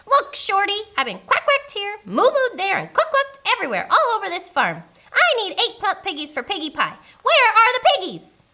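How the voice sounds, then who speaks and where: A woman's voice: very high voice, wildly swooping pitch, normal speed, few pauses, sharp tone, voice pushed up, full character voice. A woman voicing a greedy, hot-tempered witch in a children's storybook, screeching, bossy and full of insults. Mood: furious, demanding.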